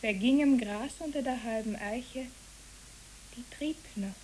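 A woman playing a solemn child wanderer who talks in riddles, hushed and matter-of-fact, in a poetic German radio drama. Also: fairly high pitch, unhurried, lightly acted. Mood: dreamy. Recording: clear tape hiss.

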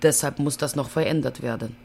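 A woman's voice: very deep voice, brisk, creaky, a little mellow, faint music behind. An actress playing a female care worker who reports in a plain, official, matter-of-fact way.

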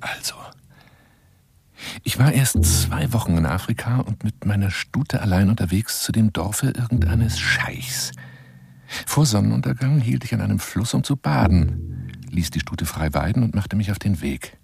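An actor playing a garrulous, wooing lion hunter in a radio play monologue, theatrical and coaxing, rambling between exclamations and whispers in a husky, gravelly voice. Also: male voice, on the low side, expressive, moderate pace. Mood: nostalgic, suspenseful. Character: garrulous, self-styled lion hunter wooing a woman by telephone.

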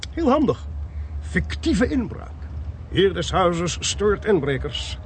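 A man acting a sharp, assertive detective in a radio play, clipped and commanding as he reasons. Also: sits high, sing-song, slow pace, a little hiss or hum, full character voice. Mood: confident, explanatory. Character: sharp, assertive male detective reasoning through a murder case. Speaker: actor in a radio drama.

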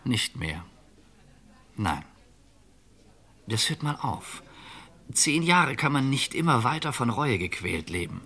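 An actor playing a calm, resigned middle-aged fugitive in an old radio crime drama, measured and reflective. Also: male voice, mid-range voice, heavily acted. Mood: weary, philosophical.

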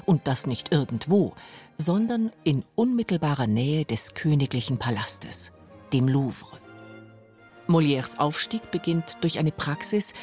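A man's voice: on the high side, vocal fry, darkish tone. A man reading scripted documentary narration for a radio feature. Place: radio studio.

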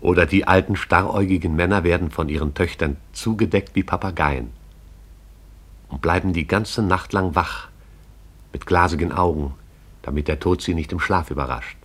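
A man narrating a radio play in a measured, poetic manner. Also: sits low, breathy edge.

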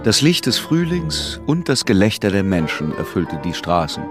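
A man narrating a literary radio drama in a measured, sober manner. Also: on the low side, very animated, music in the background. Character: measured, literary narrator of a small-town tale.

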